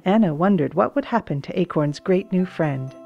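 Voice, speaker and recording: A woman's voice: on the low side, expressive, normal speed, gravelly, a little mellow, lo-fi sound, soft background music. A woman reading scripted children's book narration as an audiobook narrator.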